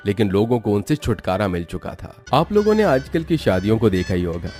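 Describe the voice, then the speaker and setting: A man narrates a scripted horror cartoon tale as a storyteller. His voice sits fairly low, and faint music runs behind it. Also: a little mellow.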